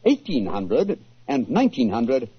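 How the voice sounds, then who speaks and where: An actor playing a composed, precise, commanding British gentleman detective in 1940s radio style. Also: medium pitch, huge pitch swings, unhurried, breathy edge, full character voice. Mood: matter-of-fact. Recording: muffled old-time radio recording.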